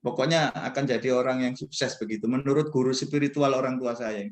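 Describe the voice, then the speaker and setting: A man's voice: mid-range voice, steady tone, brisk. A man gives an informal religious lecture to students as a professor, in a conversational, rambling, self-deprecating way. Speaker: university professor speaking as guest mentor. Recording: echoey, roomy sound.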